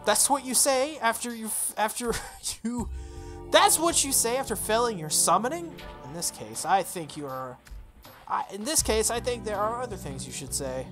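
Music plays behind a cocky visual novel man as a streamer reads him. He is sardonic and haughty, with a dry, challenging delivery at a medium pitch. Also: big pitch swings, moderate pace, a bit bright. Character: cocky, sardonic man in red, summoned servant. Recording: music playing under the voice.

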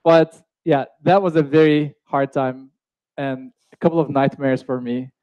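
A man's voice: medium pitch, steady tone, unhurried, a little mellow, no room sound, muffled recording. A young man hosting a live online coding course, chatty, with fillers and restarts. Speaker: course host.

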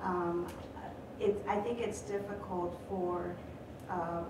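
A woman professor of Spanish asks a long question from the audience, pausing on many ums. She is heard from far away in a large echoing hall. Noise runs steadily underneath. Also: fairly low pitch, steady tone. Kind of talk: audience question at live event. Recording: echoey hall, far from mic.

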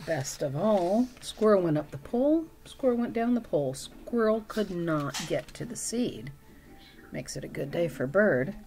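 A middle-aged woman reading a picture book aloud as a storytime reader, with chatty asides and expressive, drawn-out emphasis. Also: lowish voice. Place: small room at home.

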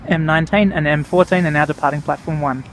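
A man's voice: fairly high pitch, fairly even intonation, fast. A man with an Australian accent, giving casual vlog commentary on a station platform and naming the trains in a matter-of-fact way. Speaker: train vlogger. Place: outdoor railway station platform. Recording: outdoor camera audio with train noise. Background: passing trains and station noise.

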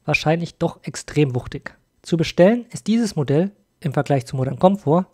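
Man, review voice-over, mellow and dark tone, a bit husky, informal, addressing viewers. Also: a little high, expressive, moderate pace. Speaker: video host and reviewer. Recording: music behind the voice. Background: music.

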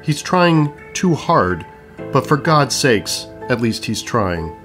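A man's voice: mid-range voice, very animated, slow pace, fairly bright tone. A man narrating an audio play, reading scripted stage directions. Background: music.

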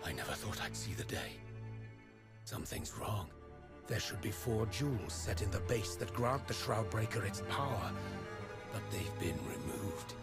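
An actor playing a gruff, secretive middle-aged pirate stranger in a video game, with a grave, deliberate storytelling manner. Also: male voice, lowish voice, expressive. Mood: grave, musing. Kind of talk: dramatic video game dialogue. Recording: loud music under the voice. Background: game music.

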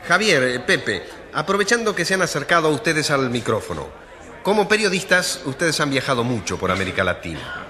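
A man's voice: on the high side, big pitch swings, a little fast, bright, forceful tone. A man acting an inquisitive radio reporter in a radio drama, broadcasting live from a courthouse story. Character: inquisitive male radio reporter covering a tense court case. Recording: reverberant hall sound.

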